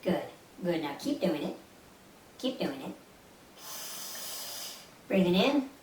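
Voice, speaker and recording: A woman's voice: lowish voice, very animated, slow pace, darkish tone. A woman leading a guided meditation as an instructor, speaking in a soothing, instructive way with short repeated phrases. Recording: roomy, distant mic with faint hiss.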